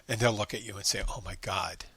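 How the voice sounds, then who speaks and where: An American man talks alone on his podcast, wandering from thought to thought and often restarting his sentences. His voice sits low but has a bright, forward edge. The recording is close and dry, with no room sound. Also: a little fast, breathy edge, no room sound. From United States. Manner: rambling, with frequent restarts and fillers. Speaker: podcast host and bartender. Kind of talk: solo podcast monologue.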